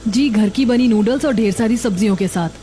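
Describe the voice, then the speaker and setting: Mellow hopeful young stallkeeper woman acting. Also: a little high, brisk, a little hiss or hum, natural voice. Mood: welcoming. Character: hardworking, hopeful young daughter-in-law running a noodle stall. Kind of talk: scripted cartoon dialogue.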